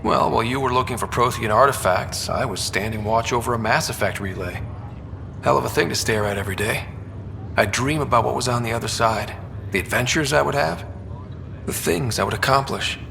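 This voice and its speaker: A man's voice: medium pitch, a little fast, creaky, close to an everyday voice. A man playing a wry, wistful young soldier in a sci-fi video game, speaking in a reflective, conversational way. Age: young adult. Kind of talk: naturalistic video game dialogue acting. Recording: reverberant room, loud ambient hum behind.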